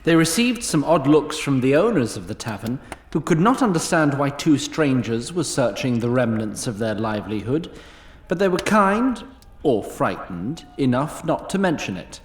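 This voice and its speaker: A man as a wry fantasy storyteller, narrating in formal, literary phrases. His mid-pitched voice carries plenty of echo and a long reverberant tail. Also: sing-song, moderate pace, a little hiss or hum, close to an everyday voice. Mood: measured, dry. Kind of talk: scripted narration.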